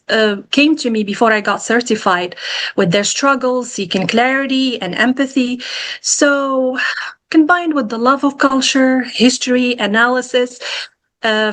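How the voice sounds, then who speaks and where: A woman's voice: a little high, a bit bright. Earnest woman life coach from Morocco. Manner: earnest, reflective, with frequent restarts. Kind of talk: podcast interview.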